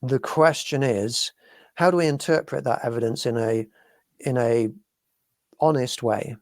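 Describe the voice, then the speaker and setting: A British man from the UK hosting a talk show, reasoning through ideas in a measured way. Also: mid-range voice, dry recording, clean studio sound. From United Kingdom. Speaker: podcast host. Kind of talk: casual talk show discussion.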